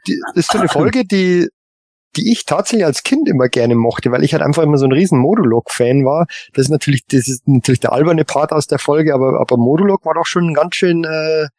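A man talking as a podcast panelist in a casual fan discussion, enthusiastic but halting. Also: a little high, darkish tone, dry recording.

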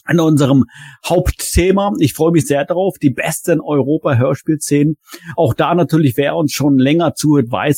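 A male podcast host talks casually about a fan topic. His voice sits a little high with a husky edge. The sound is close and dry, with hardly any room to it. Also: a little mellow, dry recording.

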